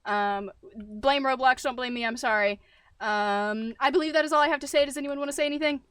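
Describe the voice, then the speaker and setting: A woman's voice: high-pitched, fairly quick, fairly bright tone, smooth, dry recording. A young woman hosting a roleplay game video, chatting casually, rambling with fillers and giggling. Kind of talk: casual chat while gaming.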